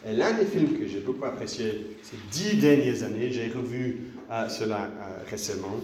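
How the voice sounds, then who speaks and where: A man's voice: mid-range voice, moderate pace, recorded from a distance, a little hiss or hum. Man preaching casually in an echoey church. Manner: relaxed, chatty storytelling. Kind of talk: conversational sermon, retelling a film.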